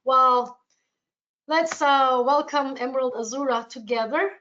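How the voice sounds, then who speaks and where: A woman with a Turkish accent speaks in a fairly high voice as she presents travel products in an informal webinar. Her delivery is enthusiastic, and the audio is dull and lo-fi. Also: a little slow, bright, forceful tone. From Turkey.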